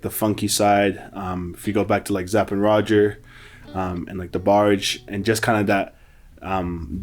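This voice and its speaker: Relaxed, hesitant young man, somewhat low. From New Zealand. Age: 20s. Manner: relaxed, hesitant, many ums and likes. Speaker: musician and producer. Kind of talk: casual self-introduction.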